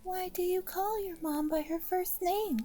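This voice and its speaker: A little girl with a very high, slow-paced voice. She sounds polite, earnest and easily hurt, yet frank. Soft music sits behind this radio drama. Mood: earnest, shy. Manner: earnest, timid but frank. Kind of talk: horror radio drama acting.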